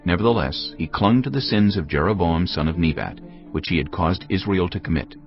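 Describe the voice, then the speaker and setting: A man narrating scripture as a scripted drama, solemn and measured. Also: low voice. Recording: dull lo-fi sound, music underneath. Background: faint music.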